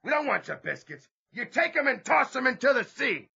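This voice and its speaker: An actor playing a scheming, folksy sailor prisoner who leads a rebellion in an audio drama. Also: male voice, very high voice, very animated, brisk, creaky, a crowd in the background, full character voice. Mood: indignant. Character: fiery, scheming American sailor prisoner, rough rebel ringleader. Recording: muffled recording.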